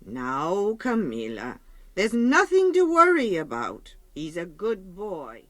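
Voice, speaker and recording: A woman's voice: fairly low pitch, wildly swooping pitch, takes it slowly, dry recording, full character voice. A woman playing a chatty, soothing older aunt who keeps a shop, in a radio drama. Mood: reassuring.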